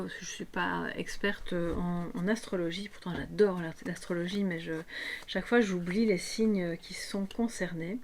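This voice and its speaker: A woman with a somewhat low voice and a slight hoarseness guides viewers through a tarot reading. She talks off the cuff, explaining as she goes and checking in with her listeners. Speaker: tarot reader and coach hosting a guidance video. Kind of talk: unscripted spiritual guidance talk to viewers.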